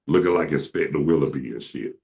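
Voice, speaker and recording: A man with a voice that sits very low. It has a rough, gravelly edge. He tells stories in a relaxed, joking way, as the host of a casual chat. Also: a little fast. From Atlanta, Georgia. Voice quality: very deep voice. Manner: joking, slips into comic impressions. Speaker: show host.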